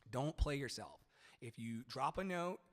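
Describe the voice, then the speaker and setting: Brief: male vocal coach on a live stream, boomy baritone-tenor, loose and chatty, mid-pitched, long reverb tail on the mic. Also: lively intonation, unhurried, a bit bright. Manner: rambling, chatty, profane, sings snatches mid-talk. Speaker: singer and live-stream singing teacher. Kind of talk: casual live-stream talk with sung demos. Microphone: studio mic with added reverb.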